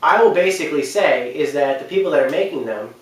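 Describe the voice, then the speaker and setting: A man's voice: fairly high pitch, lively intonation, quick pace. A man giving an unscripted, opinionated commentary, rambling and backtracking as he makes his points with emphasis. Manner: rambling, hesitant, often backtracking. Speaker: host giving video commentary. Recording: very echoey room, distant from mic.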